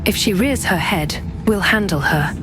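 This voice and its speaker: A woman voicing a resolute, composed adventurer hero for a video game, terse and measured. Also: medium pitch, expressive, lightly acted, low-quality recording. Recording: music behind the voice.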